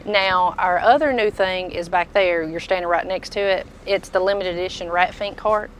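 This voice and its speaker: A woman's voice: on the low side, steady tone, moderate pace. Woman chatting over background noise. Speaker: tool truck dealer showing products. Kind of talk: casual product walk-through chat. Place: inside a packed tool truck.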